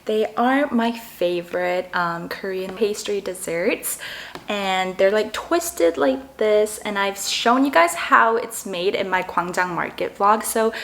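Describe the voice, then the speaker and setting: A woman in her twenties, raised in Canada, chatting casually and brightly to viewers while tasting desserts. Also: medium pitch, animated, slow pace. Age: 20s. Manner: bubbly, chatty, eating between sentences. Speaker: vlogger. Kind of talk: casual mukbang chat.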